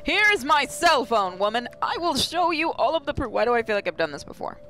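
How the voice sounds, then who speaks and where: A woman's voice: high voice, very animated, a little fast, a bit bright, soft background music. A woman hosting a gaming channel, reading a visual novel aloud with playful, sarcastic asides.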